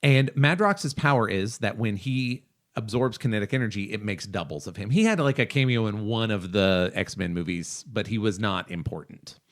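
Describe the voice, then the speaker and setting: A man's voice: fairly low pitch, expressive, moderate pace. A man co-hosting a podcast, casually discussing and explaining his opinions in a review style. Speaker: podcast co-host.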